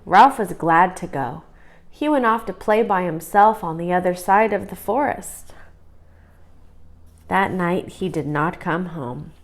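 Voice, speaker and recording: A woman's voice: fairly low pitch, a little slow. Woman reading a children's story aloud. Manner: expressive read-aloud storytelling. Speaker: storybook reader. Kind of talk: scripted children's story narration.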